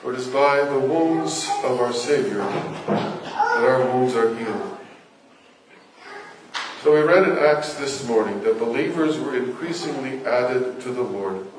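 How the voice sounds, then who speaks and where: An older man, a priest in his sixties or beyond, speaking solemnly and deliberately in a liturgical, preaching style. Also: mid-range voice. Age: 60s or older. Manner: solemn, measured, deliberate delivery. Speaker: Orthodox parish priest. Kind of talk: liturgical Gospel reading and sermon. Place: church interior, at a lectern before the icon screen. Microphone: distant camera. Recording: echoey church from far off, faint noise.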